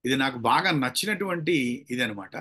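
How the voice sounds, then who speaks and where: A man's voice: medium pitch, lively intonation, normal speed, sharp tone. A man teaching a public speaking class, stressing key words emphatically and mixing in English terms. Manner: mixes English terms and phrases into Telugu. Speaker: public speaking and voice modulation trainer. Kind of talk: interactive online class teaching.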